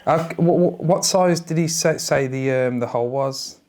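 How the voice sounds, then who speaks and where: A man putting on an earnest council official for a prank call, his pitch in the middle range. He stammers, repeats himself and stays politely insistent, like a bureaucrat reading from a form. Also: moderate pace, darkish tone, close to an everyday voice. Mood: apologetic, muddled.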